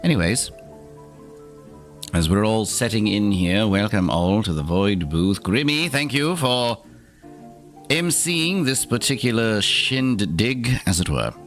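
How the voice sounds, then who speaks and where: A man's voice: on the low side, animated, slow pace, fairly bright tone, soft background music. A man hosting an in-character livestream, talking theatrically in a put-on accent with dry, opinionated asides. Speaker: streamer host.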